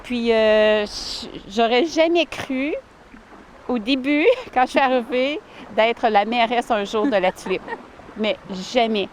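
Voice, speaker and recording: A woman, answering interview questions warmly and earnestly outdoors by a lake, with many fillers and restarts. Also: a little high, slow pace, a little hiss or hum. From Ottawa, Canada. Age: 40s. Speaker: village mayor, interviewee.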